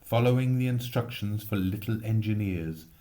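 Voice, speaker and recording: A man reading a literary story expressively, with measured, lyrical phrasing. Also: fairly low pitch, dark tone. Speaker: reader.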